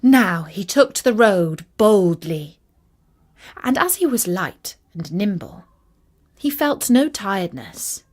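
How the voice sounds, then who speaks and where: A woman reading a scripted fairytale for children in an expressive, deliberate storytelling delivery. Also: medium pitch.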